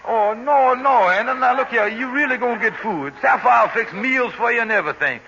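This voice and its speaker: An actor playing a scheming, smooth-talking husband in a 1940s radio comedy. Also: male voice, extremely high pitch, sharp tone, heavily acted. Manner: coaxing, fast-talking schemer. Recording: dull old radio broadcast, faint hiss.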